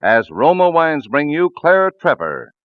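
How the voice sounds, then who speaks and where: Animated 1940s radio announcer man. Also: fairly high pitch, measured pace, dry recording, narrow, tinny audio. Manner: polished, emphatic, spells out words. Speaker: radio announcer, sponsor pitchman.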